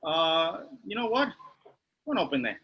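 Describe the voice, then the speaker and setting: A man with a raspy voice acting out a character's lines at a table read. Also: fairly high pitch, very animated, measured pace, dull audio. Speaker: table-read participant reading a character part. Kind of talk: acted script reading.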